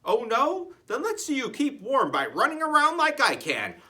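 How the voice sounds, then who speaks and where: Reader doing a loud, boastful storybook dog. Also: sits high, wildly swooping pitch, normal speed, far from the mic, pitched above the performer's own voice, full character voice. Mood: scornful. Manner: barking, challenging, exaggerated. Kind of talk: animated children's storybook read-aloud.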